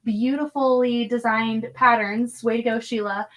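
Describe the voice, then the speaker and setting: A woman's voice: a little high, fairly even intonation, a little slow, a bit bright. A woman guest quilter chatting on a video call, grateful and effusive. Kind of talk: casual chat on a video call.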